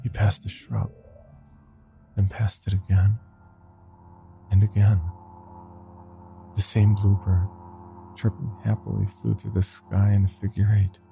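Deep, gravelly, slow-paced male horror narrator. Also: monotone, music in the background. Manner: slow, deliberate, somber delivery. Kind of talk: scripted first-person horror narration.

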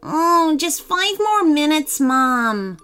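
An excitable little storybook boy, voiced by a woman, talks in a high, swooping voice. He sounds like a doubting kid brother, with music behind him. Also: slow, exaggerated voice. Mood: sleepy, complaining. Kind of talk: children's storybook character voice.

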